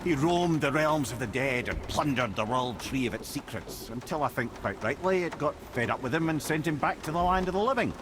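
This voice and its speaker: Animated old Scottish storyteller, played by a man. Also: mid-range voice, brisk, hardly any pauses, background noise, lightly acted. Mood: wry. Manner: theatrical, wry storytelling. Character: wry old male storyteller, learned and animated. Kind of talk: dramatic storytelling in a video game.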